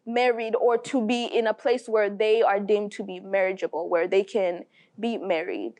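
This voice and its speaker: A woman in her twenties from Tanzania, a talk show guest who talks in an animated way and searches for her words. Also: medium pitch, moderate pace. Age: 20s. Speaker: panel guest. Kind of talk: casual discussion.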